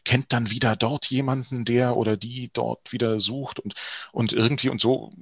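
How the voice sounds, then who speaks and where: A middle-aged man, a professional voice actor and news speaker, talking as an interview guest in a lively, expressive way with frequent hesitations. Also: medium pitch, moderate pace, vocal fry, husky, no echo, studio quality.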